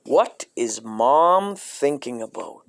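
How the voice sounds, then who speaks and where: A man reading a dark comic coloring book aloud in a deadpan way, breaking off into profane asides. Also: medium pitch, takes it slowly, gravelly, dry recording.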